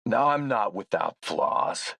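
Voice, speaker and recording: A defiant warlord from a video game, speechifying with forceful menace. His voice is fairly low and he takes his words slowly and deliberately. The sound is very dry, with no room around it. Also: animated, creaky, breathy edge, no room sound, heavily acted. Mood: indignant, measured. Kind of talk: scripted game voice acting, speech.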